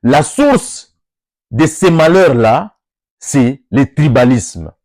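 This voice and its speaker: A man's voice: medium pitch, expressive, drawn-out words, a little mellow, no room sound, studio quality. A Congolese man with a Congolese French accent, addressing workers on behalf of an NGO in an oratorical style with emphatic rising peaks. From Democratic Republic of the Congo. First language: Lingala or Swahili. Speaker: NGO speaker.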